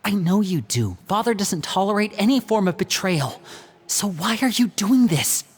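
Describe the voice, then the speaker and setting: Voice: mid-range voice, big pitch swings, normal speed, breathy edge. An actor voicing a shrewd, self-possessed teenage boy, a protective older brother, in a video game. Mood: stern. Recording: music playing behind the voice.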